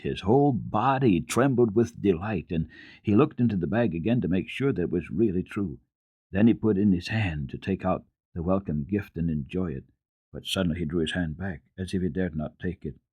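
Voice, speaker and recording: Low-voiced man reading a children's story. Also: darkish tone, breathy edge, no room sound. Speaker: storyteller, radio program host. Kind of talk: dramatic reading of a children's book.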